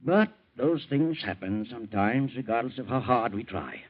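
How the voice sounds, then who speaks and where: A muffled vintage radio recording presents a husky male autopsy surgeon, played by an actor. His pitch swings widely as he gives deliberate, frank clinical explanations in dramatic radio style. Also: lively intonation, lightly acted. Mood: emphatic, certain. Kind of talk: 1940s radio acting.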